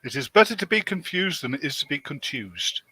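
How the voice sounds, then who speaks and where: A man's voice: a little high, big pitch swings, a little fast, sharp tone, slightly rough, no echo, poor audio. A man in casual comic banter on a voice call, playful and mock-pedantic as a co-host. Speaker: stream co-host.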